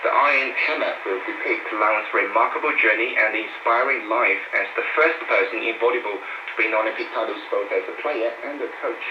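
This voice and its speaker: A man's voice: lowish voice, brisk. A man presenting scripted news as a radio newsreader, heard over a shortwave broadcast. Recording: noisy, muffled, echoey distant shortwave radio broadcast. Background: loud radio static and noise.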